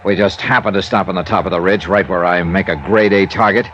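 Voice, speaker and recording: A tough American detective from an old radio play. His voice sits fairly low, and he speaks in clipped, wry, suspicious lines like an interrogator. The sound is dull and band-limited, like an aged broadcast. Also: steady tone, a little fast, a bit bright, slight background noise. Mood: sarcastic, accusing. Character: tough, suspicious, sardonic male insurance investigator. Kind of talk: old-time radio detective drama acting.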